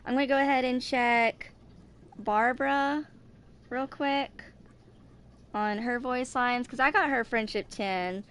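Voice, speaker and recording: Female gamer, 20s. Clean, smooth, fairly high voice; informal, meandering delivery, thinking out loud as she plays. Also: steady tone. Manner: halting, distracted thinking aloud. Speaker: gaming video creator. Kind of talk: casual rambling game commentary.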